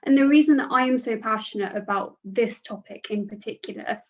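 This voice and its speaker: A woman's voice: on the high side, a little fast. A young woman in her twenties, not a native English speaker, hosting a podcast with polished introductions. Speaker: host. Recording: dull lo-fi audio.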